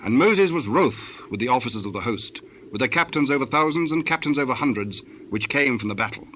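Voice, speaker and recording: A man's voice: medium pitch, animated, a little fast, a bit bright. Male narrator, mid-range voice, formal, solemn, scripted Bible reading, faint background noise.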